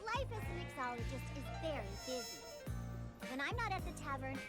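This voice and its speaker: A woman's voice: high-pitched, big pitch swings, brisk. A woman voicing a proud, prickly young cat-girl bartender in a video game, haughty and brusque. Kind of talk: English game voice acting. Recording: loud game music behind.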